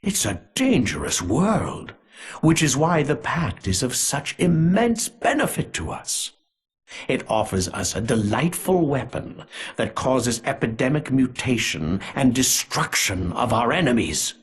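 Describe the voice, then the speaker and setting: Slow, husky, gloating conspirator. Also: male voice, medium pitch, big pitch swings, full character voice. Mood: smug menace. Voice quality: husky, raspy. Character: sinister, aloof conspirator gloating over a bioweapon pact. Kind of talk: scripted video game villain monologue.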